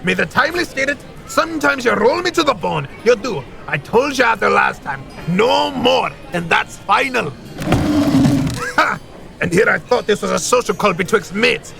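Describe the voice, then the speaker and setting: A man's voice: sits high, lively intonation, normal speed, creaky, over music, exaggerated voice. An actor playing a grumpy, indignant male artificer in a fantasy audio drama, blustery and full of old-fashioned slang. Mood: exasperated, offended.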